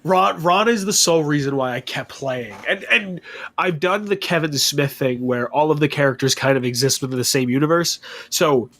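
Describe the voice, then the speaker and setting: Stuffy-nosed man telling gaming stories. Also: a little high. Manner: animated storytelling, swearing, quick asides. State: stuffy nose. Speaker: podcast guest. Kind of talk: casual chat about tabletop gaming.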